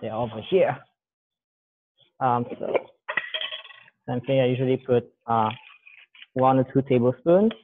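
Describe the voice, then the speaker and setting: A man's voice: medium pitch, unhurried, long pauses, noisy background. A man in his thirties casually walking through a recipe, rambling and correcting himself as he works. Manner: relaxed, rambling, self-correcting while working with his hands. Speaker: guest demonstrating a recipe. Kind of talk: casual cooking demo. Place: large bare room with a counter, indoors. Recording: thin phone-like call audio.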